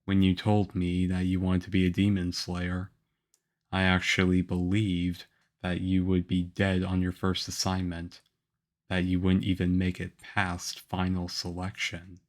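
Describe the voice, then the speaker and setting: Male actor as aloof young swordsman mentor for ASMR roleplay: stern, flat, unhurried delivery, measured and harsh. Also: deep voice. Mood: reserved, grudging approval. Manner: harsh, measured, deadpan, with grudging praise. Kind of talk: ASMR roleplay acting addressed to the listener.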